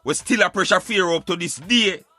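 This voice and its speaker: A Jamaican man with a fairly high, bright voice holds forth in thick Patois. He is emphatic and argumentative, preaching his opinions like a talk show commentator. The sound is close and dry, with music underneath. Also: brisk, breathy edge, no echo. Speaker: radio show host.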